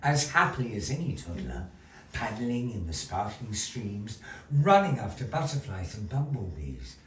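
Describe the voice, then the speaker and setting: A man narrating a puppet show tale to a live audience, with a slow, theatrical delivery that rises and falls. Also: fairly low pitch, sing-song, fairly bright tone, far from the mic. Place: gallery space. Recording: echoey gallery room, voice from afar, faint noise.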